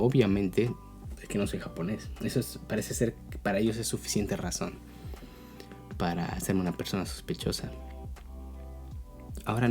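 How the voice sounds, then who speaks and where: A man's voice: lowish voice, dark tone. A man with a Mexican accent giving a casual, explanatory opinion talk as a video blogger, often checking in with tag questions.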